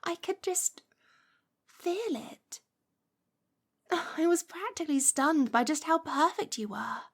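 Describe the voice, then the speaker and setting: A woman's voice: high-pitched, expressive, slow pace, exaggerated voice. A woman playing a lovestruck, possessive witch in an ASMR roleplay, cooing and coaxing with a condescending sweetness. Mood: dreamy, smitten.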